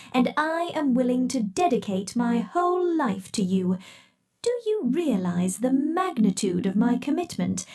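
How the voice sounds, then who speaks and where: Lady doing asmr roleplay as a creepy possessive scientist, slow and soft, mellow voice. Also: on the high side. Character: possessive controlling female scientist captor. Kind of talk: ASMR roleplay, speaking to the listener.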